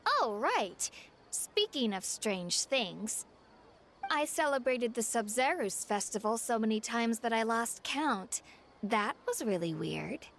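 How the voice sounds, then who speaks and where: A scornful townswoman turned zealot in an anime game. The voice is fairly high, haughty and indignant, with an accusing edge. Light ambient noise sits behind it. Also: sing-song, takes it slowly, a bit bright, heavily acted. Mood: casual. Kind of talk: anime video game voice acting.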